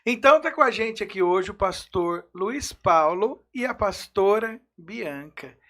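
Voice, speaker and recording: A Brazilian man hosts a podcast in an informal, effusive style. His voice is bright and pressing, and he welcomes guests with casual enthusiasm. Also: high-pitched, lively intonation, measured pace. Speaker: podcast host.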